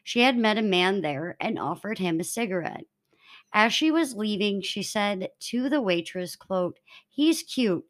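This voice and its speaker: A woman's voice: mid-range voice, lively intonation, measured pace. A woman hosting a true crime podcast, narrating in an informal, conversational way between bits of casual chat.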